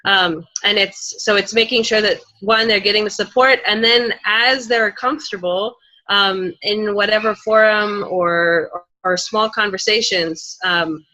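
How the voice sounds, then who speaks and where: A woman speaking as a panelist in a spontaneous discussion, earnest and reflective, with halting phrasing. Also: medium pitch, steady tone, bright, forceful tone, poor audio.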